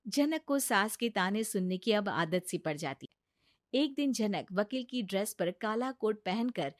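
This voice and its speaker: A woman's voice: medium pitch, lively intonation, a little slow, no echo, studio quality. A woman narrator reading a scripted Hindi story in a storytelling style.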